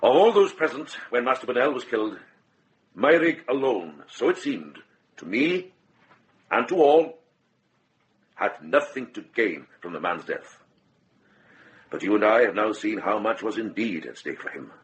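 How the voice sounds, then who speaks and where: An actor playing a shrewd, compassionate middle-aged monk in a radio drama, speaking with grave, measured deliberation. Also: male voice, on the low side, big pitch swings, low-quality recording. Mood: grave, accusing.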